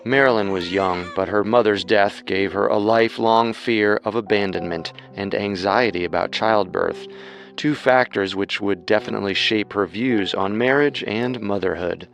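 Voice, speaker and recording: A man's voice: fairly low pitch, normal speed, gravelly, fairly bright tone, soft background music. A man hosting a podcast with scripted true-crime narration. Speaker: podcast co-host.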